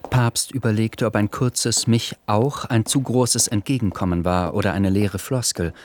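A man playing the measured narrator of an uneasy literary radio drama, reading scripted prose. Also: fairly low pitch, steady tone, a little hiss or hum, natural voice.

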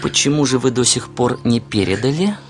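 An actor playing a brash, self-assured young suitor in a Russian radio drama, arguing in a formal, pedantic, insolent manner. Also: male voice, medium pitch, lively intonation, fairly quick, close to an everyday voice. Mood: indignant. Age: young adult.

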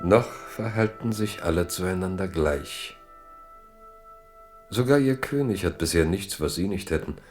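An actor as a grave, all-knowing storyteller, giving measured, reflective literary narration in a historical radio play. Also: male voice, low voice, animated, darkish tone, faint music behind.

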